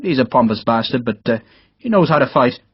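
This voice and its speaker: A man's voice: medium pitch, animated, a little fast, husky, dry recording, muffled recording. A man voicing a hearty, blunt middle-aged Irish engineer captain in an audiobook. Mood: indignant, rousing. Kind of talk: audiobook character dialogue.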